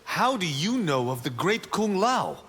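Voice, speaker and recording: Cocky young warrior monk, lively delivery. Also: male voice, high voice, a little slow, slightly rough, heavily acted. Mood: cocky, teasing. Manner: boastful, teasing. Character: cocky, brash young male martial-arts monk warrior. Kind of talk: video game fight banter. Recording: reverberant game audio with background noise.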